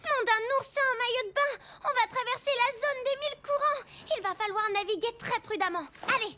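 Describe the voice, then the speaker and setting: A woman voicing a bold, confident young girl pirate captain in an animated cartoon. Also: very high voice, a little fast. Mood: commanding. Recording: music behind the voice.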